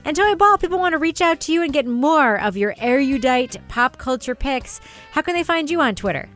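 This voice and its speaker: A woman with a fairly high voice hosts a casual chat show. She ribs people playfully and reads out sign-off notes, with faint music behind her. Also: lively intonation, fairly quick, a bit bright. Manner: teasing, playful ribbing. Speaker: podcast host.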